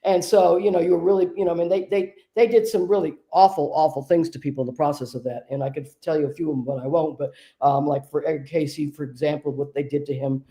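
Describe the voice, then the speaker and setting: A woman hosting a conversation, a medium telling stories in a relaxed, discursive way. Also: sits low, fast talker, hardly any pauses. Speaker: host and trance medium. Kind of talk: casual conversation.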